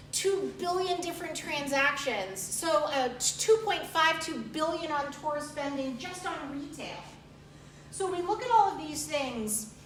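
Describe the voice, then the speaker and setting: A woman presenter with a fairly high voice, speaking emphatically and repeating her key phrases. She stands away from the mic, so the room rings around her. A light hiss sits under the recording. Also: fairly bright tone. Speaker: program presenter. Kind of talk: upbeat recruiting presentation. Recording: echoey room, far from mic.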